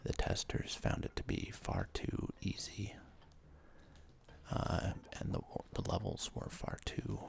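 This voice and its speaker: A man whispers game commentary in an ASMR style, his voice heavily creaky and low. He speaks slowly and haltingly, dropping in casual asides as he hosts. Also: very animated. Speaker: gaming video host.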